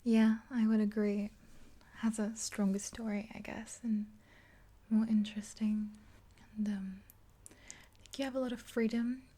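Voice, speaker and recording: A woman's voice: mid-range voice, mellow. A woman in her twenties whispering soothingly and hesitantly, close to the mic, in an ASMR roleplay as if chatting with a friend. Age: 20s. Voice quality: breathy whisper. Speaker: ASMR video creator playing a makeup artist friend. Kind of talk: whispered ASMR roleplay, one-sided chat with the listener. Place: small indoor room, dark backdrop. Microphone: close to the mic, facing camera.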